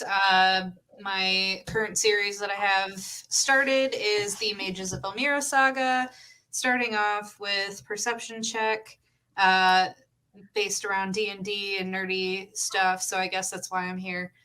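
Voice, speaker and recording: Bright-voiced woman, casual panel guest. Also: mid-range voice, low-quality recording. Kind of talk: casual self-introduction.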